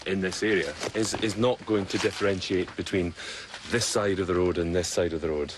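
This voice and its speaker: Low-voiced man presenting a wildlife documentary. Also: steady tone, a little fast, background noise. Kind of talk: scripted narration and on-location explaining.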